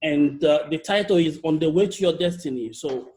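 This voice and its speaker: A young man from Togo speaks English with a French accent, his voice sitting a little higher than most men's. He leads an online workshop over a video call with lively energy, often stumbling, repeating and restarting words as he goes. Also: normal speed. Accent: Togolese French. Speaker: youth leader speaker.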